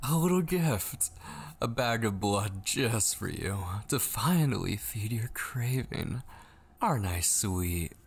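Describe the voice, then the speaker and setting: Male actor as possessive kidnapper for ASMR roleplay: deep, unhurried, breathy male voice, teasing and quietly menacing. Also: lively intonation, heavily acted. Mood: playfully cruel. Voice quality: breathy, husky, often dropping to whispers. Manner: slow, coaxing, teasing endearments. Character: obsessive possessive male yandere kidnapper, sweetly menacing and taunting. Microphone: close studio microphone, dry.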